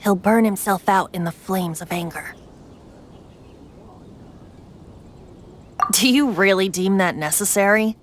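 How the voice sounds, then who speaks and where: A woman's voice: medium pitch, normal speed, faint music behind, natural voice. A woman playing a youthful boy bard, breezy and secretive, with a light, theatrical delivery in an anime-style game. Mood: wistful, concerned.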